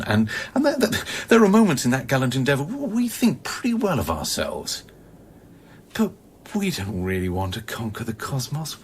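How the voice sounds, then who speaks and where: An actor in a radio play, playing a bitter, volatile space-station scientist who philosophizes intensely and haltingly, dropping at times toward a breathy near-whisper. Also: male voice, mid-range voice, a little hiss or hum. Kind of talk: dramatic radio play acting.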